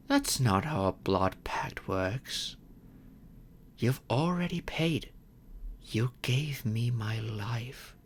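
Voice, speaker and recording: A man playing a courteous, gentle, wealthy old vampire in an audio roleplay, speaking reassuringly and earnestly to the listener. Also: medium pitch. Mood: earnest, wistful. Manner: gentle, reassuring, unhurried with thoughtful pauses.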